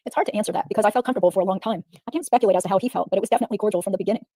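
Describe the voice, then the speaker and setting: A woman reading the part of a female witness giving guarded, measured answers in a deposition. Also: medium pitch, warm tone, dry recording, clean studio sound, lightly acted. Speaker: reader in a deposition reenactment. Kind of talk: read-aloud legal reenactment.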